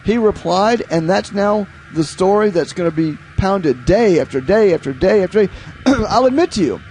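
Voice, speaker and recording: A man's voice: high-pitched, lively intonation, normal speed, darkish tone, faint music behind, low-quality recording. An American man giving opinionated political commentary as a show host, emphatic and incredulous, repeating phrases for effect. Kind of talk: opinionated political commentary, reading headlines aloud.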